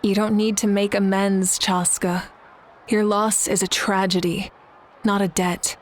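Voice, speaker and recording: A woman voicing a composed, logical and compassionate female leader in a video game, speaking in a measured, matter-of-fact way. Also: mid-range voice, level tone, slight background noise, close to an everyday voice. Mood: gentle, solemn. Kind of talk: scripted video game voice acting. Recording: music behind the voice.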